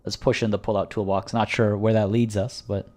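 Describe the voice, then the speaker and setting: A man streaming a video game, chatting casually with viewers in a rambling way, and slightly hoarse, as if losing his voice. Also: fairly low pitch, little pitch movement, fairly quick, darkish tone. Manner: rambling and chatty, frequent restarts. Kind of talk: casual livestream commentary.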